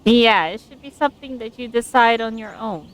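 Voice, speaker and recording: A woman's voice: a little high, moderate pace. A woman game streamer chatting casually and reacting aloud to a story as she plays, close to her microphone. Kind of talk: casual live gameplay commentary and reading along.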